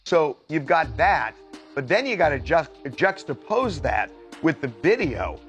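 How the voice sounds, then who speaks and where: A man's voice: fairly high pitch, big pitch swings, a little slow, a bit bright, soft background music. A man giving emphatic, unscripted commentary in a news discussion.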